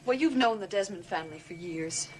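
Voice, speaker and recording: Actress playing a troubled gothic soap bride-to-be. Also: female voice, lowish voice, lively intonation, brisk, noisy background, lightly acted. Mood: confused, worried. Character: troubled, confused young bride-to-be in a gothic mansion. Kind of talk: 1970s gothic television soap opera acting.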